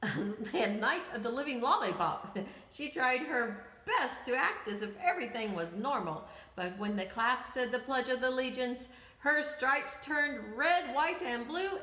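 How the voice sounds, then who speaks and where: A woman reading a children's picture book aloud in an expressive, dramatic way with drawn-out emphasis. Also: sits high. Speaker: storyteller, teacher reading aloud. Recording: echoey room.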